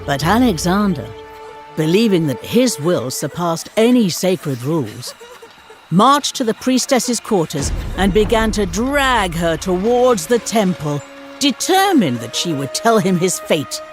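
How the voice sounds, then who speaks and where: A woman narrating history for a game cutscene in a theatrical, measured storytelling manner. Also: lowish voice, very animated. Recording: music behind the narration.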